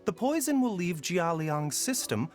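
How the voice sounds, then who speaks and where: Gentle, learned game doctor. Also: male voice, sits high, sing-song, faint music behind. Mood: calm. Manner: measured, gentle, explanatory. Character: calm, learned male physician. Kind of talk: video game voice acting.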